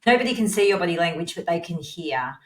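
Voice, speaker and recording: A woman's voice: fairly low pitch, expressive, fast talker. A woman hosting a podcast, chatting casually as she interviews, recorded in an echoey room. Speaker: podcast host. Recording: echoey, reverberant room sound.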